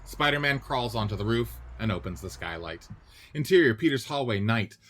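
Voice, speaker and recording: A man's voice: mid-range voice, expressive, normal speed, fairly bright tone. A man narrating stage directions in a scripted radio play reading.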